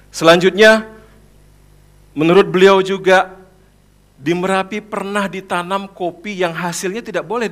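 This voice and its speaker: A man's voice: fairly high pitch, fairly bright tone. A man in his thirties from Padang, Indonesia, telling his own story in a prepared public talk as a guest speaker. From Padang, West Sumatra, Indonesia. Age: 30s. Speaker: coffee shop owner, guest speaker. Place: room in front of an audience.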